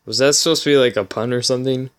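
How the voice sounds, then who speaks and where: A man's voice: mid-range voice, steady tone, racing, clear, resonant tone. A man reading a story aloud as a channel host, in an amused dramatic style with giggly asides of commentary.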